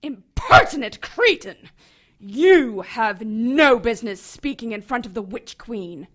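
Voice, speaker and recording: Older female witch matriarch, scornful and theatrical, deliberate slow delivery, strongly varied intonation, audio drama. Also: fairly high pitch, very animated, a bit bright, exaggerated voice. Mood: outraged. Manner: imperious, disdainful. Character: haughty, scornful older witch, mother of the high priestess.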